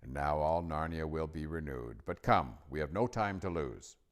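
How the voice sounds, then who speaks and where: Storybook great lion, played by a male reader: very deep, measured, solemn, tender but commanding. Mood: stately, commanding.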